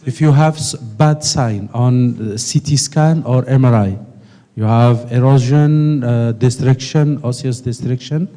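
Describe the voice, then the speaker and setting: A man's voice: medium pitch, a little slow, mellow. A man moderating a conference session, asking questions hesitantly with many fillers. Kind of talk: moderating a panel. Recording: long reverb of a conference hall.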